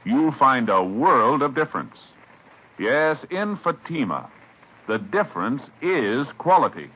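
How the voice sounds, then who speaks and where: A man's voice: medium pitch, big pitch swings, a little slow, husky. An American man announcing and advertising in formal, emphatic 1950s radio style. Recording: muffled vintage radio broadcast.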